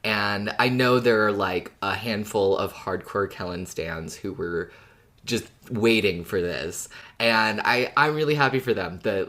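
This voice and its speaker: A woman with a very low voice co-hosts a podcast about fiction. She chats casually and thoughtfully, agreeing and building on ideas as she goes. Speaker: podcast co-host.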